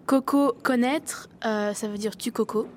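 Woman, higher voice, slow clipped spelling-out delivery. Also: slight background noise. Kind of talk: reading out text abbreviations.

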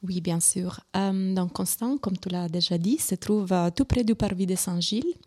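A woman's voice: medium pitch, normal speed, a little mellow. A woman with an Italian accent, a guest on a live radio interview, speaking hesitantly with many fillers and restarts. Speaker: guest from an arts organisation.

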